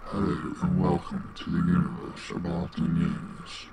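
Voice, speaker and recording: Very deep ominous universe announcer, gravelly, pitch-shifted effect, theatrical, heavy noise. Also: male voice, fairly even intonation. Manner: slow, theatrical welcome. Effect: pitch-shifted down, layered, processed. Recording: very noisy, muffled, processed home recording.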